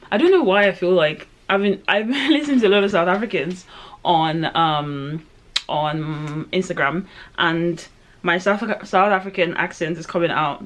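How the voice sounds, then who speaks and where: A woman in her late twenties talking to her camera while doing her makeup, chatty and reflective, rambling with lots of "um" and "like", with sudden excited jumps in pitch. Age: late 20s. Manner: chatty, rambling, heavy use of fillers like "um" and "like". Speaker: vlogger. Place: at home in front of her own camera.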